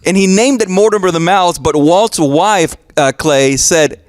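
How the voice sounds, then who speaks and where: A man's voice: high-pitched, a little slow. A man from Puerto Rico with a Puerto Rican Spanish accent, a talk show guest who talks earnestly and from the heart as he shares his story.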